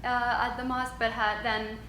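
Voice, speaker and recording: A young woman, her voice set a little high. She talks hesitantly, with plenty of ums, and her speech rings in a roomy echo over faint background noise. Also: flat delivery, moderate pace, pushed and bright. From Switzerland. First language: French. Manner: hesitant, many ums, frequent laughs. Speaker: guest, yoga instructor. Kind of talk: casual interview.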